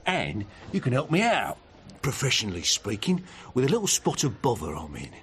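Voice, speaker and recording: A pushy, flattering newspaper editor in a radio play. His mid-pitched voice is husky and very animated. He comes across as chummy and self-important. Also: heavily acted. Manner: chummy, insistent, halting at times. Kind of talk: radio drama dialogue.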